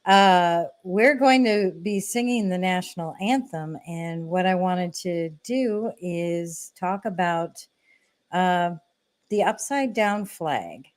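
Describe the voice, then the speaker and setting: A woman's voice: lowish voice, slow. An American woman hosting an online talk show, speaking casually and affirming her guests. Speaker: host.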